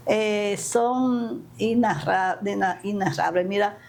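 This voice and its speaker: A woman's voice: mid-range voice, slow, vocal fry. An older woman with a Dominican accent, speaking conversationally as an interview guest and telling her recollections emphatically. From Dominican Republic, Santiago and the capital. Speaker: interview guest, community leader. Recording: steady ambient background noise.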